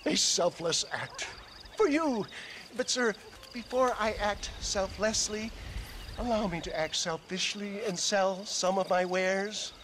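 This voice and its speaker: A man's voice: high voice, slow pace, a bit bright, slight background noise. An actor playing an aging, pompous snake-oil salesman in a western game, florid, theatrical and wheedling. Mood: flustered pleading. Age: older. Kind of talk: theatrical video game acting.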